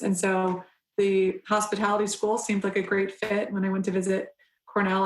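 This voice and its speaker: A young adult woman council member answering interview questions in an earnest, measured way, with frequent pauses and restarts. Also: mid-range voice, reverberant, low-quality recording.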